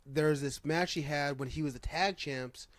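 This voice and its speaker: A man with a slightly higher-pitched voice, recorded close and dry. He hosts a loose, casual fan discussion, talking informally and feeling his way through sentences. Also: moderate pace, no room sound. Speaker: podcast host. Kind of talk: casual chat about pro wrestling.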